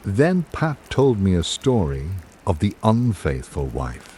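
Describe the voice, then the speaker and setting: Male narrator, lowish and very animated in pitch, formal literary radio-drama read with measured phrasing, faint background noise.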